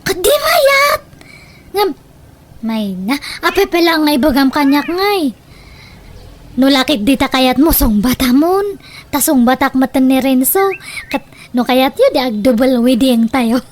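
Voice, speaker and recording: High-voiced, lovestruck young Ilocano woman. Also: expressive, unhurried, pauses often. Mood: giddy, boastful. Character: lovestruck, feisty young unmarried village woman, stubborn daughter. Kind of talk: Ilocano comedy radio drama acting.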